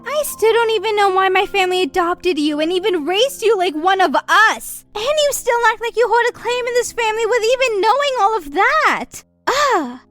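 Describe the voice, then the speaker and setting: A woman's voice: extremely high pitch, animated, normal speed, fairly bright tone, no echo, soft background music. A woman playing a haughty, impatient young vampire in a scripted roleplay, petulant and scornful.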